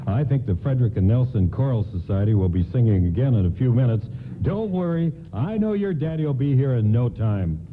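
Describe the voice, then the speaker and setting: A polite department store doorman acted by a man, reassuring and helpful. His voice sits at a medium pitch. It is radio drama with a thin, narrow sound. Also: sing-song, fairly quick, lightly acted. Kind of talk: radio play acting. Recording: thin phone-like band, noisy radio broadcast.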